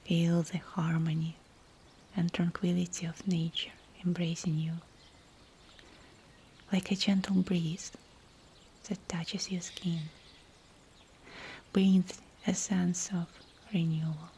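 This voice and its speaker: A woman guiding a scripted visualization meditation in a breathy, soothing whisper, with hushed phrases and pauses between them. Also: fairly low pitch, little pitch movement, slight background noise. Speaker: meditation guide.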